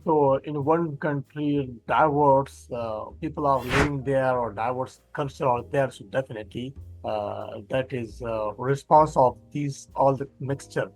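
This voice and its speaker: A man's voice: medium pitch, measured pace, hardly any pauses, poor audio. A man in his thirties from Pakistan, hosting an interview over a headset from a room with bookshelves, asking plain, loosely worded questions. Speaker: host. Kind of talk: casual interview over video call. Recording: faint background music.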